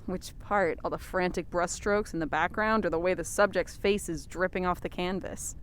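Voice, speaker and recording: A woman's voice: steady tone, normal speed, close to an everyday voice. A woman playing a dry, sarcastic, sensible young heroine in an audio fiction comedy. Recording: low rumbling background noise.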